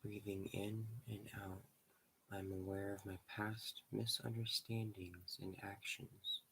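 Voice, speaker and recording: Low, even-voiced man guiding meditation. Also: a little hiss or hum, rough recording. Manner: slow, measured, pausing between short phrases. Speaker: guided meditation leader. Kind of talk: guided meditation.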